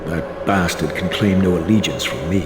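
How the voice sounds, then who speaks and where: An actor playing a brooding, bitter vampire wraith in a video game, speaking in a grave, measured, formal way. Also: male voice, low-pitched, lightly acted. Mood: guarded, bitter. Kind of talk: dramatic video game narration and dialogue. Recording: hall reverb under clear music.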